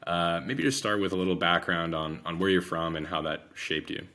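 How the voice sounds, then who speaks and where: A man's voice: sits low, moderate pace, bright, forceful tone. A man hosting an interview, asking a long, hesitant question full of fillers. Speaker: podcast host.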